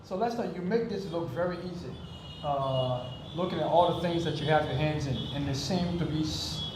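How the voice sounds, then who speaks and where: A man's voice: fairly high pitch, moderate pace. A man hosting a casual, conversational interview, asking his guest questions. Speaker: interviewer, host. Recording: roomy, very noisy, off-mic ambience.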